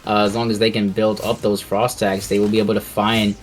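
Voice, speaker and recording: A man's voice: lowish voice, flat delivery, a little fast. A man giving live, casual esports commentary in a loose, conversational way, often repeating his phrases.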